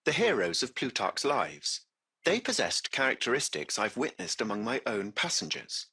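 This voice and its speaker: A man's voice: fairly low pitch, creaky, pushed and bright, breathy edge, heavily acted. A man playing a polite, curious AI taxi driver in a video game, speaking in formal, precise, butler-like phrases. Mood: thoughtful, measured. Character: courteous, curious AI taxi chauffeur, male-voiced machine. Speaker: AI driver of a cab service. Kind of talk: scripted video game character dialogue.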